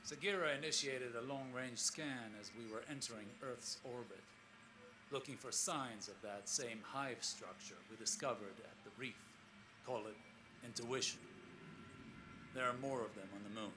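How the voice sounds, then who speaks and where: An actor playing a weary, scholarly explorer in a science fiction game, recording a log in a measured, grave, formal way with brooding pauses. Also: male voice, mid-range voice, background noise, lightly acted. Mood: grave, concerned. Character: weary, scholarly male sci-fi explorer, solemn and troubled.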